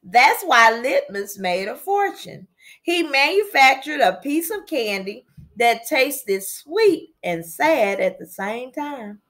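A woman reads the part of a kindly older lady librarian in a children's storybook. She explains things gently and slowly. Her pitch is a touch high and rises and falls freely. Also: big pitch swings, heavily acted. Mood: wistful, kindly. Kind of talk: storybook character read aloud.

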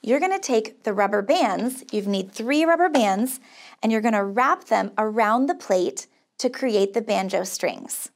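A woman with an American accent and a high voice guides young children through a craft. She sounds upbeat and encouraging, like a camp counselor, explaining each step simply and offering sing-song praise. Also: expressive, a little slow. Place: small indoor studio set.

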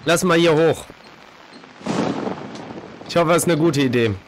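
Hoarse man chatting over a horror game. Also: a little high, measured pace, slight background noise, rough recording. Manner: chatty, jumpy reactions to scares. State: hoarse from a cold. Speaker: let's play host. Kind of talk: casual gameplay commentary. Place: home streaming room, indoors. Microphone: desk microphone, headset on.

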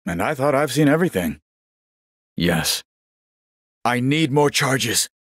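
An old warrior monk from a video game, genial and a little vain. His husky voice swings widely in pitch as he delivers courtly, wryly pious lines at an unhurried, slow pace. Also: sing-song, a bit bright, dry recording, studio quality, exaggerated voice. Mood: calm, wry. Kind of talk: scripted game character voice lines.

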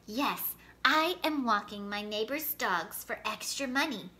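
A woman's voice: fairly high pitch, lively intonation, measured pace, bright, forceful tone. A woman voicing a polite, earnest young girl from a storybook. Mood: hopeful.

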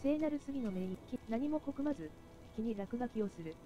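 Female game streamer, higher voice, halting read-aloud of menus and quests, music underneath. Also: animated. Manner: halting, repeats words. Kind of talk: reading game text aloud.

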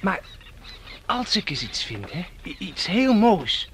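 An actor playing an earnest, hesitant teenage son in a 1960s radio drama. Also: male voice, high-pitched, sing-song, slow pace, fairly bright tone, full character voice. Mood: shy, tender. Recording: noisy 1969 radio play recording.

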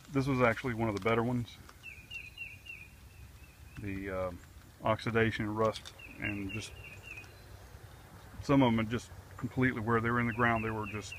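A man's voice: lowish voice, fairly bright tone, a little hiss or hum. A man working outdoors in a wooded backyard, talking through his fence job into a handheld camera in a plain, practical way, with fillers as he thinks aloud. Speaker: DIY vlogger. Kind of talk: casual how-to narration. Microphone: handheld camera mic.

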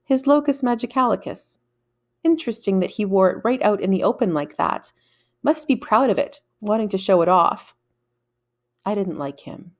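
A middle-aged woman reading a children's novel aloud in a measured, deliberate storytelling manner. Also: mid-range voice, animated. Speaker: read-aloud narrator. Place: small room at home, indoors. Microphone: desktop microphone in front of her.

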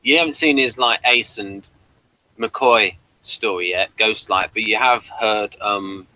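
A man co-hosting a casual chat, chiming in with quick, brief remarks. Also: on the low side, pushed and bright, no echo, poor audio. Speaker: podcast co-host.

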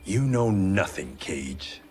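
A man's voice: low voice, big pitch swings, takes it slowly, a little mellow, soft background music, exaggerated voice. An actor playing a grim, proud clan swordsman in a video game, terse and menacing. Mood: proud, stern. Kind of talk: cinematic video game acting.

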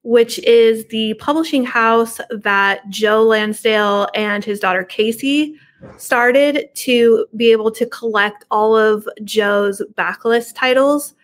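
A woman's voice: a little high, slow. A middle-aged woman chatting casually and informally as a podcast guest on a video call from her home. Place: living room at home.

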